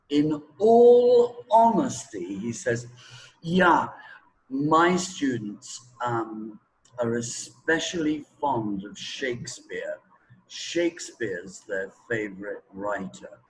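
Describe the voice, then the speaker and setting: A middle-aged man giving opinionated, deliberate answers with long pauses in a conversational interview. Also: mid-range voice, low-quality recording. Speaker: English teaching expert being interviewed.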